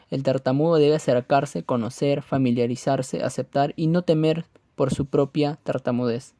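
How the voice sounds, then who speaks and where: Higher-pitched man narrating in a mellow voice. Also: dry recording. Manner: formal, reading aloud. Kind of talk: scripted educational narration.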